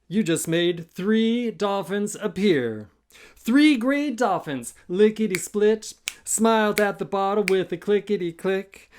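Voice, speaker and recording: Slow, theatrical man reading a children's story. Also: high voice, slight background noise. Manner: theatrical, chanting, sing-song counting. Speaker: children's book author reading aloud. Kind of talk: expressive musical storytime narration in rhyme.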